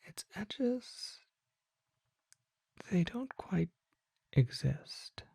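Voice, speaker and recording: ASMR performer playing a mysterious ancient vampire, breathy whisper, slow and deliberate, courtly, calm, knowing, close-miked. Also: mid-range voice, no echo, exaggerated voice. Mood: mysterious, soothing. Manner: slow, formal, deliberate, hushed. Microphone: close microphone.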